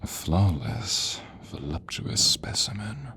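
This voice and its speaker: A man voicing a sadistic, theatrical sorcerer villain in an audio roleplay, silky and menacing, drawing out words and slipping into breathy whispers. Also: low voice, big pitch swings, takes it slowly, bright, forceful tone, full character voice. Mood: smug, menacing. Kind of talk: audio roleplay acting addressed to the listener.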